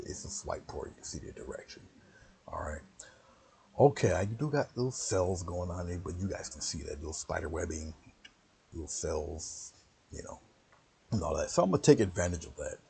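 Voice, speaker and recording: A man with a very low, gravelly voice, his pitch sitting near the bottom of the range. He leads a relaxed painting stream, rambling between steps and greeting viewers one by one. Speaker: painting instructor. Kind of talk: casual livestream painting lesson.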